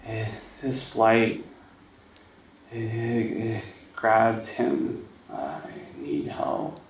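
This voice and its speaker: A man voicing a frightened, battered young woman who mutters and repeats herself haltingly, pleading for help in a tabletop game. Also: lowish voice, completely flat delivery, recorded from a distance. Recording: roomy echo, faint noise, off-mic.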